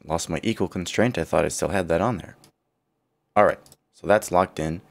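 A man with a partly lost, rough voice walks through a computer design tutorial, thinking aloud and correcting himself as he goes. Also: sits low, normal speed. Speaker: CAD tutorial instructor. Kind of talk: screen-recorded software walkthrough.

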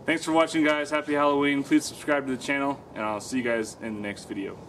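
A man's voice: mid-range voice, fairly even intonation, quick pace, reverberant, very noisy. Upbeat man hosting a how-to, mic far off. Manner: upbeat, jokey, stumbles over his lines. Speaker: host of a woodworking channel. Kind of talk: casual how-to presenting. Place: home kitchen and backyard. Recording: distant mic with music underneath.

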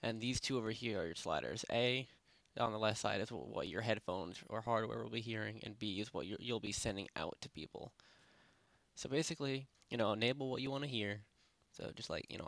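A man narrating a software tutorial in a casual, unscripted, rambling way, speaking informally with lots of filler phrases. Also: lowish voice, fast talker, vocal fry, no room sound. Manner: rambling, informal, repeats "basically" and "you know". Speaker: tutorial presenter. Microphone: close headset microphone.

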